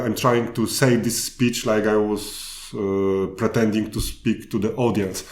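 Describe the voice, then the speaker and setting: A man with a Polish accent answering interview questions in unhurried, halting English, starting sentences over now and then. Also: fairly low pitch, roomy sound. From Poland. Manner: slow, halting answers with restarts. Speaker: guest speaker. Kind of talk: podcast interview.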